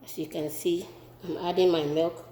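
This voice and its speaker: A woman with a Ghanaian accent demonstrating a home recipe in her kitchen, relaxed and repeating herself as she works. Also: on the low side, moderate pace, slight background noise, low-quality recording. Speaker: home cooking vlogger. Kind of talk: casual cooking demonstration.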